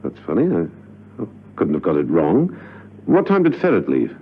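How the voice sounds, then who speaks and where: An actor playing a suave, unflappable British gentleman secret agent in a classic spy radio drama, courteous and dryly witty, with a smooth voice. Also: low voice, huge pitch swings, moderate pace, full character voice. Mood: puzzled. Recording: muffled vintage radio recording.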